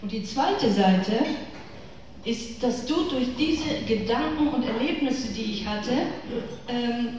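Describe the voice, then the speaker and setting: A woman's voice: fairly high pitch, takes it slowly, darkish tone. A middle-aged woman with a northern German accent giving a chatty, informal faith talk to a women's church gathering, speaking to her listeners directly and personally. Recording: echoey hall from far off with room noise.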